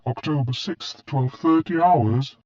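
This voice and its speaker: A man's voice: on the high side, slow pace, highs cut off, heavy background noise. A man voicing an impersonal log computer that announces dates and times in clipped phrases for an audio drama.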